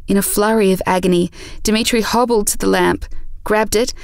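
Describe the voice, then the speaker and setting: A woman narrates a scripted audio drama. Her mid-pitched voice has a noticeable creak. The recording is very dry, with no room sound. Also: fairly even intonation, brisk, no room sound.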